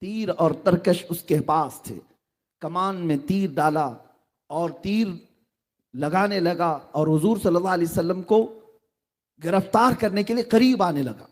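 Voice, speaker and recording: A middle-aged man preaching a sermon, telling a story with dramatic swings between quiet lines and loud exclamations. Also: high-pitched.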